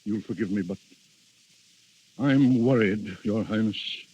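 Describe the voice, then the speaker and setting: An actor playing a worried aristocratic duke, formal and deferential, in 1930s radio drama style. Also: male voice, on the low side, takes it slowly, mellow, slight background noise. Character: courteous, worried aristocratic duke, a fearful host. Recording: muffled vintage 1930s radio recording.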